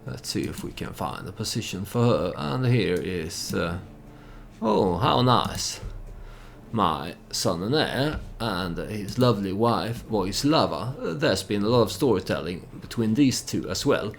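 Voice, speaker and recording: Very deep, gravelly man, non-native English speaker. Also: expressive, measured pace, slight background noise. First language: not English. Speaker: let's play host. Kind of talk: casual gameplay commentary with storytelling.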